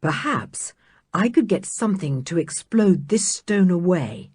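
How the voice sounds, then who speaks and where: A woman reading aloud, voicing a worried older male scientist in a storybook with a slightly lowered voice. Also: very animated, unhurried, darkish tone, slightly rough, no echo, full character voice. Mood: thoughtful.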